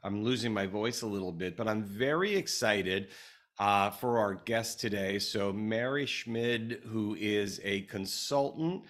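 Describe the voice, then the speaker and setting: A man with a slightly hoarse, fraying voice hosts a podcast. He opens the show in an eager, loose, friendly way, and his voice sits low. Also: a little slow, a bit bright. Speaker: podcast host. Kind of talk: casual podcast opening.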